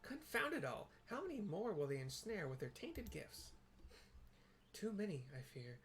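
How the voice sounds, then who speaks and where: A person reading game dialogue aloud as a formal, earnest fantasy character, with theatrical, archaic, deliberate delivery. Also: mid-range voice, sing-song, slight background noise, pitched above the performer's own voice, close to an everyday voice. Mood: indignant, troubled. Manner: theatrical, stately, deliberate wording.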